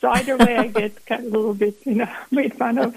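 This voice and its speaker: A woman's voice: fairly high pitch, steady tone, quick pace, creaky, a little mellow, slightly rough, no room sound. A woman from Croatia with a Croatian accent, a family physician earnestly explaining her views as an interview guest. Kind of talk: interview answers.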